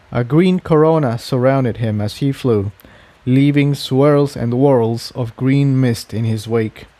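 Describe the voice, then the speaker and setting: A man narrates a scripted fantasy story. His pitch sits in the middle of the male range, and his tone is mellow and relaxed. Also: dry recording. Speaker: fan fiction narrator.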